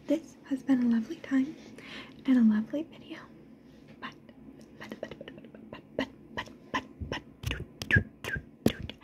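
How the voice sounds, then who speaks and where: A woman whispering softly in an ASMR video, repeating trigger words in a slow rhythm with a gentle, playful, soothing manner. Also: high voice. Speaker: ASMR creator. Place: indoors at home.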